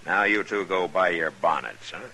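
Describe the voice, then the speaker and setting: An actor playing a steady, protective American frontier marshal in an old-time radio western, plain-spoken and firm. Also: male voice, deep voice, moderate pace. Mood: steady, concerned.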